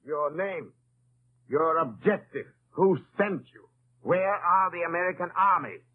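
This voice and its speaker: An actor playing a cruel, mocking military commandant in an old radio drama, with a commanding, menacing manner. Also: male voice, high voice, unhurried, phone-quality sound. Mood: demanding, exasperated. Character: cruel, mocking Nazi camp commandant, adult man. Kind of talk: 1950s radio drama acting. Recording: scratchy, noisy old radio broadcast.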